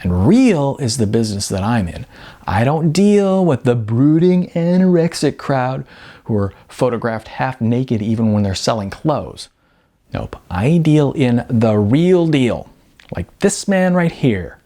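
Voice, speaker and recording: A man's voice: medium pitch, very animated, measured pace, warm tone. A man voicing a brash, chatty middle-aged female talent agent, breezy, blunt and flirty, in an audiobook. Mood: teasing, emphatic. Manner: breezy, wisecracking, emphatic.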